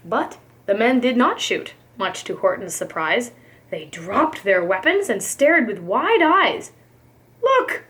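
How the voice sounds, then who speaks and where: A woman reading a storybook aloud like a preschool teacher, expressive, with emphatic, rhythmic delivery. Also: mid-range voice, measured pace.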